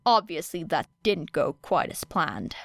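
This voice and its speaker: A sardonic teenage boy who speaks flatly and curtly with customary apathy, read by a woman close to the mic. The sound is dry and intimate. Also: low-pitched, measured pace, gravelly, no room sound, pitched below the performer's own voice, exaggerated voice. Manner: flat, dry, curt.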